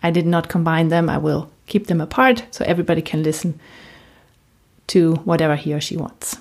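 A woman's voice: low voice, fairly even intonation, a little fast, a little mellow. A woman from Germany, a native German speaker with a German accent, presenting a solo podcast as host and coach in a conversational way.

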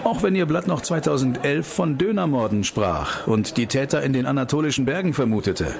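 A man's voice: mid-range voice, sing-song, a little fast, creaky, a crowd in the background. A man playing an ambitious investigator in a radio play, politely combative, with pointed and sardonic questioning. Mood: sardonic, probing.

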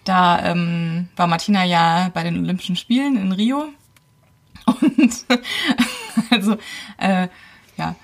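A woman's voice: fairly low pitch, takes it slowly, pauses often. A woman around forty, a podcast guest telling a personal story casually and thinking aloud as she goes. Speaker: podcast guest, host's partner.

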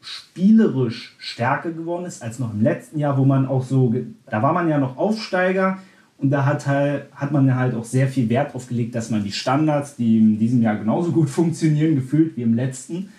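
A Berlin-born man hosts a casual football podcast, talking in a loose, chatty way, restarting sentences and filling pauses as he thinks aloud. His voice is dark and mellow, and the recording sits in a noticeably echoey room. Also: mid-range voice, expressive. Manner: chatty, hesitant, many restarts and fillers. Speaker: podcast host.

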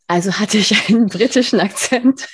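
A woman's voice: mid-range voice, moderate pace, slightly rough, no echo. A woman from Spain hosting an interview, talking in a chatty, effusive way and laughing often. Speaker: podcast host. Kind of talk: casual interview chat.

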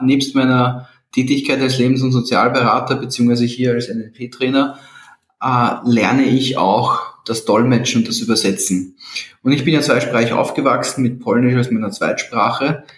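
A man who works as an NLP trainer, explaining his methods in a relaxed conversation with frequent fillers and restarts. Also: mid-range voice. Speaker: NLP trainer and life coach. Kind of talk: casual podcast conversation. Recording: roomy echo.

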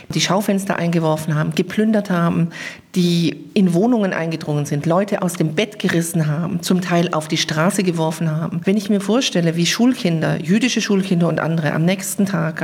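A woman's voice: fairly low pitch, darkish tone, slightly rough. A woman speaking as an interview guest, explaining her views in German. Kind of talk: spoken interview answers, explanatory.

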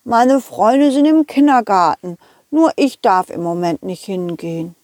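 A woman's voice: medium pitch, animated, a little slow, darkish tone, no room sound, clean studio sound, lightly acted. A woman voicing a small, shy young boy who talks in a childlike, hesitant way with little stammers.

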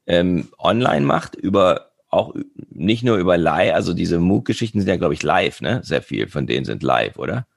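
Deep gravelly male interviewer. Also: very animated, moderate pace, dry recording, studio quality. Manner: attentive, frequent affirming murmurs, thoughtful rambling questions. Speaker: podcast host, interviewer. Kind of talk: casual conversational interview.

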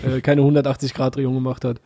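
A man's voice: mid-range voice, completely flat delivery, quick pace, warm tone. A young man in casual chat, hesitant and searching for words. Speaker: podcast co-host.

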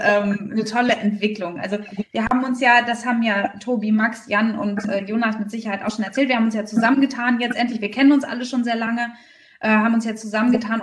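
A woman with a fairly high voice, recorded at a distance from the mic in an echoey room. She answers interview questions conversationally as a founder, stressing her points by repeating words. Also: slight background noise. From Berlin, Germany. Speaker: startup founder being interviewed.

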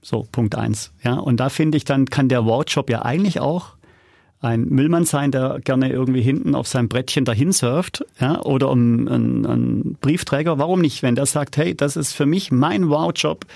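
A man's voice: mid-range voice, moderate pace, creaky, darkish tone, dry recording, studio quality. A middle-aged man talking casually as a podcast guest, telling anecdotes in a candid, self-critical and colloquial way. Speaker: podcast guest, audio drama producer. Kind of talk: casual interview, anecdotal storytelling.

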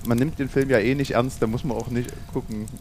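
A man's voice: mid-range voice, steady tone, fairly quick, darkish tone, a little hiss or hum. A man in his thirties chatting casually, thoughtful and a bit hesitant. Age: 30s. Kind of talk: casual chat.

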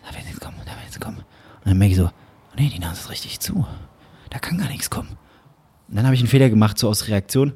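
A man casually telling a long, animated anecdote to a friend, now and then dropping into hushed, whispered asides. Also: fairly low pitch, fast, pauses often, breathy edge. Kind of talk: casual storytelling chat.

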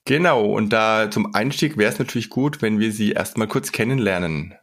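A man's voice: on the low side, normal speed, a bit bright. Man, podcast host, conversational, hesitant, fillers. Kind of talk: podcast interview.

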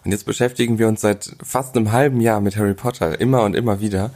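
Ein Podcast-Mann spricht sehr schnell und recht tief. Er klingt unverblümt und etwas entnervt. Sein Ton ist lässig. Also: male voice, lowish voice, fairly even intonation, quick pace, darkish tone. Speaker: podcast co-host. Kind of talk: casual film podcast chat.

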